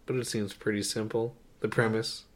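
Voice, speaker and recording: Guy on a movie podcast just chatting, slightly low voice, informal. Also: level tone, moderate pace. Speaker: podcast co-host. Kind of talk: casual movie review chat.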